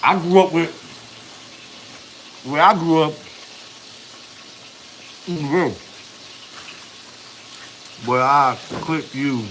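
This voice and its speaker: A man's voice: fairly high pitch, extremely slow, long pauses, a bit bright, a little hiss or hum, rough recording. A man in his thirties, a family vlogger, chatting casually on a live stream in slang, rambling and chatty. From United States. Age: 30s.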